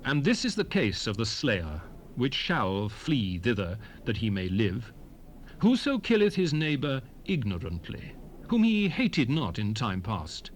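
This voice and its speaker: An actor playing a stern, commanding lawgiver in a dramatized scripture reading, declamatory and emphatic with measured phrasing. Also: male voice, mid-range voice, close to an everyday voice. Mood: solemn. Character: stern, commanding male lawgiver addressing his people. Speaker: scripture narrator in a dramatized Bible recording. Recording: 1970s cassette rip, faint background noise.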